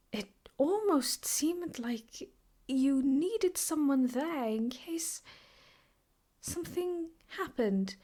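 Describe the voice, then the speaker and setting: A woman playing an obsessive, possessive, sweetly menacing ex-girlfriend in an improvised ASMR roleplay, teasing and often slipping into breathy whispers. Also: very high voice, mellow, full character voice.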